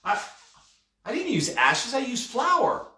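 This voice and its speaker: A man's voice: fairly high pitch, sing-song, unhurried, a bit bright. A man voicing a timid, anxious young lad in a storybook, polite, hesitant and apologetic. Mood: sheepish. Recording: roomy, echoey, distant mic.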